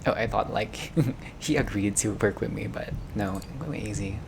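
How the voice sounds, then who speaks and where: A man's voice: on the low side, normal speed, vocal fry, noisy background. A man doing a game playthrough, reading narration aloud and dropping in casual reactions. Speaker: gamer playing a visual novel.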